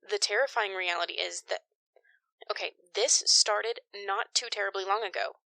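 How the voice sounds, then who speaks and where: An earnest female medical expert acted by a woman in an audio drama, with a bright, tense voice and a creaky edge. She explains haltingly and with alarm, recorded very dry and close. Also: a little high, dry recording, heavily acted, poor audio.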